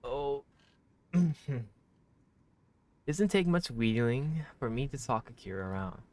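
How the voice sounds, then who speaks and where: A man's voice: mid-range voice, sing-song, slow, darkish tone, no room sound. A man doing a visual novel let's play, reading aloud and reacting casually with slangy, effusive comments and swearing. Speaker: game streamer, let's player.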